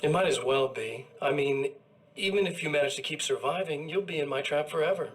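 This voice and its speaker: An actor playing a smug, menacing evil doppelganger who gloats in a cool, intimate, taunting way. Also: male voice, on the high side, a little fast, a little hiss or hum, natural voice. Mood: gloating. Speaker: villain. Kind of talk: video game cutscene acting.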